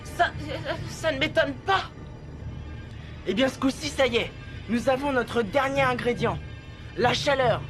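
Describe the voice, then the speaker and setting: High-voiced, melodramatic cartoon schoolboy. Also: female voice, a little slow, pauses often, music in the background. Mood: resigned. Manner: melodramatic, expressive. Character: dramatic, anxious, joking young schoolboy. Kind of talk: French-dubbed children's cartoon acting.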